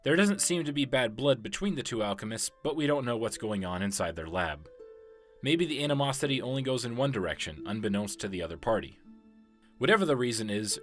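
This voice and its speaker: A man's voice: on the low side, fairly bright tone. A man giving scripted narration as a video game lore explainer. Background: background music.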